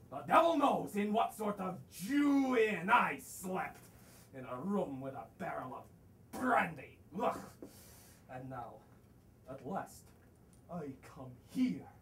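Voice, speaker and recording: An actor plays a blustering country gentleman, once an artillery lieutenant, on a theater stage. The delivery is slow and pompous, swinging widely in pitch, and the recording is distant and a bit noisy. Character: blustering, boorish retired artillery lieutenant, male landowner, exasperated. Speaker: student actor. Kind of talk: stage play acting, theatrical. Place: small theater stage, far camera.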